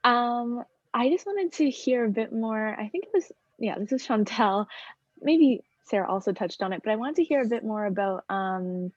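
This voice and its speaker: A woman's voice: mid-range voice, darkish tone, dry recording. A young woman asking a question over a video call, tentative and apologetic with many "like" fillers. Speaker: audience questioner. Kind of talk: casual question. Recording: dry, muffled video call audio.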